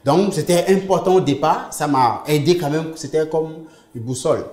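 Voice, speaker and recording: A man's voice: fairly high pitch, normal speed, darkish tone. A man whose first language is English, speaking as an interview guest and telling his own story in an earnest, emphatic way. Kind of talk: personal testimony in conversation. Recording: roomy echo.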